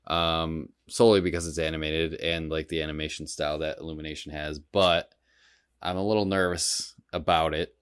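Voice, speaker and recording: Very deep-voiced man in blunt casual chat. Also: clean tone, no room sound. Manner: blunt, profane. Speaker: podcast co-host. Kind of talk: casual chat, opinionated film and game discussion.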